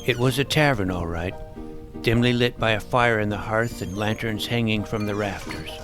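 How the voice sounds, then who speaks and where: A man's voice: lowish voice, normal speed, natural voice. A man playing an earnest, awkward boy who tells his fantasy adventure in wordy, vivid first-person narration. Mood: amazed, recounting. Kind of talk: first-person scripted storytelling in fantasy audio drama. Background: prominent music.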